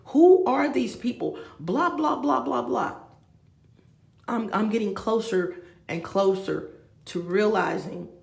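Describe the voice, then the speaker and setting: Woman in her 50s, vlogger with a gravelly voice, echoey room, rambling and chatty. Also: mid-range voice. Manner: rambling, talks straight to viewers with asides. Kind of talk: rambling confessional vlog. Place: room with a bed, indoors.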